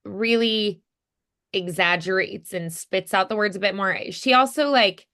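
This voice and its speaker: A woman's voice: mid-range voice, measured pace, pushed and bright. A woman coaching voice acting in a casual one-on-one lesson, thinking aloud and encouraging as she goes.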